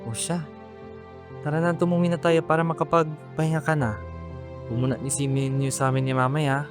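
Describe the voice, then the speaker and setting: A man's voice: a little high, level tone, brisk, music in the background, lightly acted. A young man with a Filipino accent playing a weary, resentful eldest son who supports his family, earnest and emotional, in an amateur radio drama. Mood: resigned, gentle. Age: young adult.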